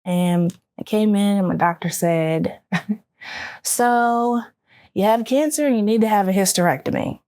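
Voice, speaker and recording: A woman's voice: medium pitch, unhurried, darkish tone, clean tone, no echo, studio quality. An American woman giving a candid, emotionally open personal account at a round-table discussion. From Baltimore, United States. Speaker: round-table panelist, army veteran, cancer survivor.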